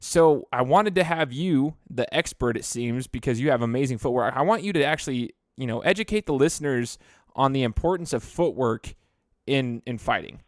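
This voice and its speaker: A man's voice: mid-range voice, expressive, normal speed, dry recording. Man, young, podcast host, rambling, dry close mic. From Idaho. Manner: chatty, rambling with restarts. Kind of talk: casual interview.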